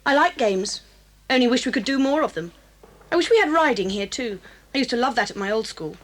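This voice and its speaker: A woman's voice: a little high, expressive, brisk. An actress playing a sullen, hot-tempered schoolgirl in a children's audio drama, curt, defiant and snappish. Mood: grudging. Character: sullen, hot-tempered new boarding-school girl, sporty and defensive.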